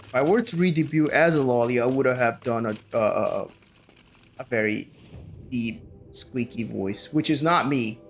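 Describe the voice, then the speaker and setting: A man's voice: medium pitch, normal speed. A man chatting casually while streaming a game, bantering and teasing his viewers. His voice is mid-range. Faint music plays underneath. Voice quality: banters jokingly with chat. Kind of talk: casual gameplay commentary.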